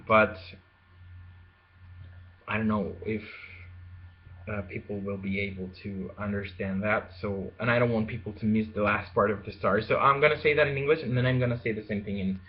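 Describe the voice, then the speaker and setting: Slow, hesitant young man on lo-fi audio. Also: lowish voice. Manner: hesitant, many fillers and restarts, slow. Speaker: language learner vlogger. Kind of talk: casual unscripted monologue. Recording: dull lo-fi home video audio.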